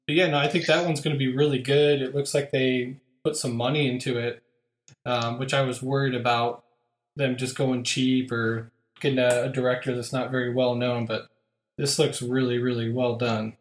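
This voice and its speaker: A man's voice: mid-range voice, moderate pace. Upbeat chatty male podcast host, echoey. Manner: relaxed, upbeat, chatty with frequent fillers. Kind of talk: casual podcast chat.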